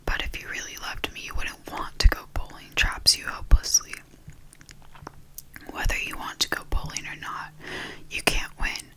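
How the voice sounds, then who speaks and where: A reader softly whispering a nonfiction book aloud in an ASMR style, with the voice fully unvoiced throughout. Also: normal speed.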